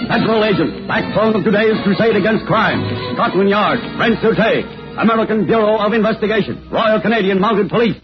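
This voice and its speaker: A man delivers a dramatic 1940s radio serial introduction. His voice is pitched high. The recording is dull and lo-fi, with music behind him. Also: brisk.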